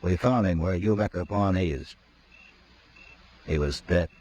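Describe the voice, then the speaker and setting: An actor playing a tough, calm frontier marshal in a 1950s radio drama, speaking in a firm, measured way. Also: male voice, low voice. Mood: menacing. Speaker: lead actor. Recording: old radio broadcast with faint hiss.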